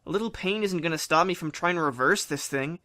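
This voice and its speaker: A man's voice: on the high side, fast, pushed and bright, dry recording. A man playing a determined, self-sacrificing teenage boy in a fan audio drama, earnest and casual, with weary concern.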